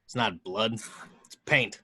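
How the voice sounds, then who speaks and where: A man's voice: lowish voice, slow pace, sharp tone, husky, exaggerated voice. A man playing a jaded, sardonic private detective in an amateur screenplay table read. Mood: dry, sardonic.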